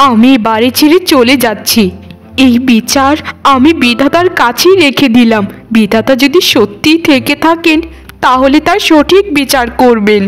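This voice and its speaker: Woman as a plaintive, high-voiced young daughter-in-law. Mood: wounded. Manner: plaintive, dramatic. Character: wronged, innocent young daughter-in-law, humble and tearful. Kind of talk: cartoon voice acting.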